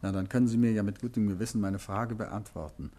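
An actor playing a calm, clever detective in a 1950s radio drama, coaxing and politely insistent. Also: male voice, lowish voice, quick pace, lightly acted. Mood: probing. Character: calm clever male detective, gently probing.

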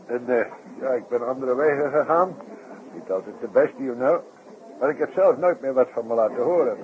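An actor playing a nervous little circus man with a foreign accent in a 1960s radio play, halting and hesitant in broken phrases. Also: medium pitch, lively intonation, natural voice. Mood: sheepish. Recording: thin, tinny, hissy old radio recording.

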